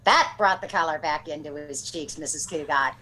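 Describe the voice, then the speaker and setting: A woman playing a blunt, deadpan but loyal housemaid in a 1940s-style radio comedy. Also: low-pitched, normal speed, a little hiss or hum. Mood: wry.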